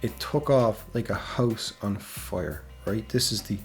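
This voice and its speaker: Guy telling a band story all casual, bit of gravel in the voice, lots of "right?". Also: fairly low pitch, a little slow, soft background music. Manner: chatty, keeps adding "right?". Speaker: music history channel host.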